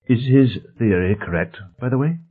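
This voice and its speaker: A man voicing a polite, inquisitive titled gentleman in an audiobook, courteous, formal and tentative. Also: medium pitch, expressive, moderate pace, slightly rough, voice pushed up. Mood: curious. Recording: narrow phone-like band.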